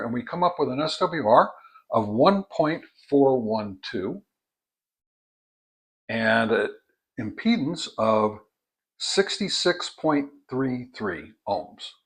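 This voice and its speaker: A man's voice: mid-range voice, animated, slow, breathy edge. A man giving a casual product review and test demonstration, explaining each step methodically as a hobbyist reviewer. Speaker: ham radio product reviewer.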